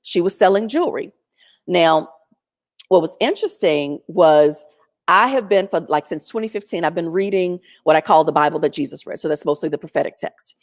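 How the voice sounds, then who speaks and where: A woman telling a personal story in a chatty, animated way, speaking over a video call in a strong, loud voice. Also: on the low side, moderate pace. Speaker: featured guest. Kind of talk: storytelling testimony.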